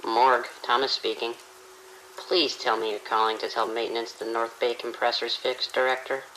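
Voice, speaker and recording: An actor playing a frightened, overworked morgue attendant in an audio drama, uneasy and insistent. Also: male voice, mid-range voice, level tone, moderate pace, pushed and bright, a little hiss or hum, natural voice, poor audio. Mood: harried, unsettled. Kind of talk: radio drama acting.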